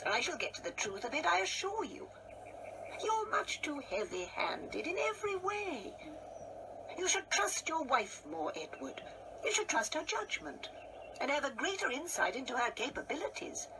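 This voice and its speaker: A woman acting a high-pitched, genteel wife. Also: very animated. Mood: haughty, insistent. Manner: theatrical, genteel, halting with dramatic pauses. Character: genteel older married woman, chatty, wistful, coquettish. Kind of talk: radio play monologue acting. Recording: distant mic with faint background noise.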